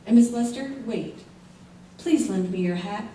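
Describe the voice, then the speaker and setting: A woman's voice: medium pitch, unhurried, dark tone, natural voice. Woman acting fragile wife, echoey stage. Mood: urgent, determined. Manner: earnest, melodramatic. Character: fragile, trusting injured wife turning distraught and determined. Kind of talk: old-time radio drama acting on stage. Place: theater stage before a live audience. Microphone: stage mics, recorded by far camera. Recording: echoey stage hall, far mic, faint noise.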